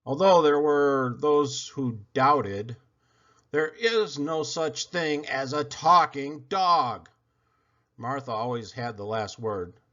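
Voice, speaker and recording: A man narrating a children's storybook aloud in an expressive read-aloud style. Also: medium pitch, slow, dull audio. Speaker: storybook reader.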